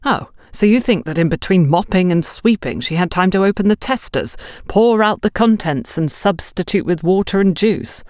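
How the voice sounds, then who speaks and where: A stern shop supervisor, as a woman narrator plays her, speaks with sarcasm and accusation. Her voice is animated and dry-recorded, made for an audiobook drama. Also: mid-range voice, normal speed, hardly any pauses, no echo, voice pushed up, natural voice. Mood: scornful, incredulous.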